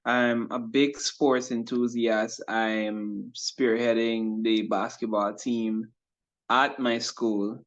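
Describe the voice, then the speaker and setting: A young man from Guyana, talking through an interview as a guest in an earnest, thoughtful way with many pauses. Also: mid-range voice, flat delivery. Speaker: interview guest, youth pastor and rapper. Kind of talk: casual interview chat. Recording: dull lo-fi audio.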